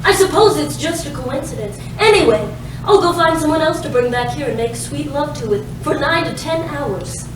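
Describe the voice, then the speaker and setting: A woman's voice: high-pitched, lively intonation, normal speed. A woman playing a breezy, adoring, melodramatic lover in a comic stage reading, sweetly theatrical. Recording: echoey room from far off, clear noise.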